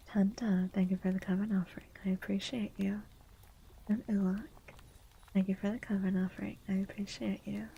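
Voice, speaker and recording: A woman in a low, feminine register, speaking almost entirely in soft unvoiced whispers. Her tone is mellow and her pitch stays very level. She chats and reads aloud in a steady, sleepy ASMR style. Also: gravelly, breathy edge, rough recording. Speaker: streamer. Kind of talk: whispered ASMR chat and legend reading.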